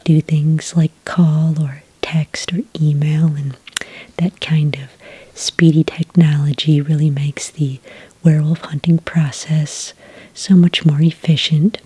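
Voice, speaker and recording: A woman doing a soft-spoken ASMR roleplay as a polite, patient clerk, whispering gently close to the microphone. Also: deep voice, flat delivery, no room sound. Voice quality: whispery, breathy. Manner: gentle, polite, unhurried customer-service patter. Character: friendly, patient female registration clerk at a hunting agency.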